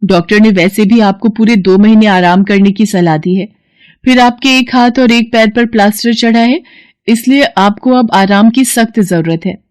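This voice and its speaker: A woman's voice: mid-range voice, normal speed, darkish tone, dry recording, natural voice. A woman voicing a polite, caring and dutiful young sister-in-law who speaks respectfully to her elders in a family story. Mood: caring, insistent.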